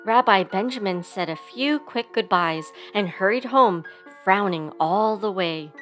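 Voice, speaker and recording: A woman's voice: medium pitch, expressive, unhurried, fairly bright tone. A woman reading a children's story aloud expressively, giving sound words theatrical emphasis, with instrumental music behind her. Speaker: storybook reader.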